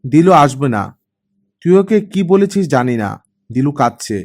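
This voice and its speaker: A man's voice: medium pitch, very animated, normal speed, darkish tone. A man voicing a worried, reproachful middle-aged mother with a cold, probing, scolding manner in an audiobook story.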